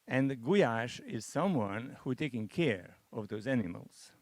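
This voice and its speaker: A man from Hungary, a Hungarian speaker, making halting remarks in a masterclass discussion, full of restarts and fillers. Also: mid-range voice, expressive, no echo. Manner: hesitant, many restarts and fillers. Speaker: masterclass participant.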